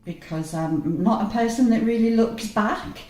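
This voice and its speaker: A woman's voice: a little high, a little slow, mellow. A woman in her mid sixties musing earnestly in an interview. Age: 60s. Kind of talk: reflective interview answers. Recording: roomy echo.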